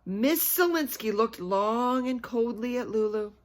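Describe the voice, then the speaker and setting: Woman reading a kids book out loud, bit high pitched, dramatic, chats and asks questions as she goes. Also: expressive, unhurried. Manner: dramatic, engaging listeners with questions. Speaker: read-aloud storyteller. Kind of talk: expressive children's story reading with chatty asides.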